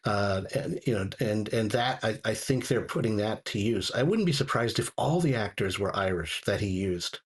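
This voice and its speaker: A man talking casually as a guest reviewer, halting and often repeating words as he searches for phrasing. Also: on the low side, lively intonation, breathy edge. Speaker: guest reviewer of audio dramas.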